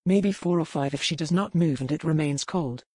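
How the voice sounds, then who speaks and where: Plain-spoken castle man, a bit husky, as a woman reads him. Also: deep voice, moderate pace, mellow, dry recording. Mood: calm.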